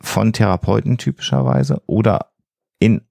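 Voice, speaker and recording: A man's voice: on the low side, animated, moderate pace, gravelly, mellow, no room sound. A man, co-hosting a podcast and explaining things in an earnest, emphatic way. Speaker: podcast co-host.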